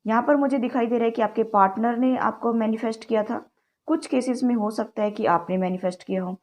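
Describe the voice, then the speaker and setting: Woman tarot reader, mellow voice, slightly sore throat, chatty, calls viewers guys. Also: on the high side. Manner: mixes English words into Hindi. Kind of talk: direct-to-camera tarot reading.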